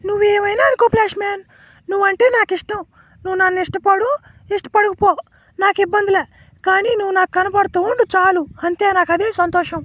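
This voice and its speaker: A woman's voice: very high voice, little pitch movement, fast, no echo. A woman voicing a feisty, outspoken village woman in slangy, emphatic cartoon comedy style. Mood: adoring, earnest. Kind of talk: amateur comedy cartoon voice acting.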